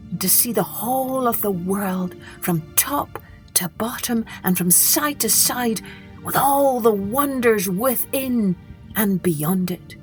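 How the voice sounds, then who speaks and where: A woman narrating a children's story in an expressive, deliberate, dramatic way. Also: mid-range voice. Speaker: storyteller and podcast host.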